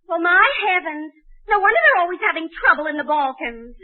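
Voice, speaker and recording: An actress playing a wry, quick-witted schoolteacher who gets flustered, in a 1940s radio comedy. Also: female voice, extremely high pitch, animated. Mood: teasing. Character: wisecracking woman schoolteacher, flustered under pressure. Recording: narrow, tinny 1940s radio recording.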